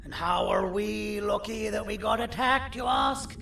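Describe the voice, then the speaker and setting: A man playing a sinister, self-important monster surgeon in a video game, pompous, theatrical and condescending, with a gravelly voice. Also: high-pitched, measured pace, sharp tone, soft background music, exaggerated voice. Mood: scheming, commanding. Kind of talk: video game villain character acting. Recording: roomy echo, distant, faint noise.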